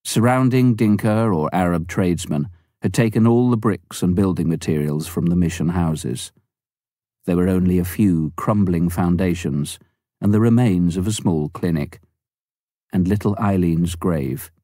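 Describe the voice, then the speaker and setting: A man with a low, mellow voice reads a memoir aloud. His delivery is measured and unhurried. The recording is dry and close, with no room sound. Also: dry recording. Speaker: audiobook reader. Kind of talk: scripted memoir narration.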